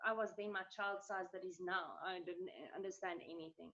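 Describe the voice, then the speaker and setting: A woman's voice: fairly low pitch, steady tone, brisk, fairly bright tone. A woman in her thirties interviewing a guest, talking in a thoughtful, conversational way over an online call. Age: 30s. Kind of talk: casual conversation. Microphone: video call. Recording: dull lo-fi call audio.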